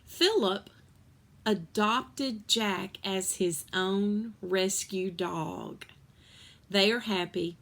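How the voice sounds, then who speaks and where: A woman reading a children's novel aloud in an expressive storytelling style. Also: mid-range voice, extremely slow.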